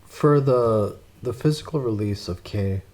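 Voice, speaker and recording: A man's voice: fairly low pitch, unhurried, a little mellow. A male podcast host asking an interview guest brief, encouraging questions.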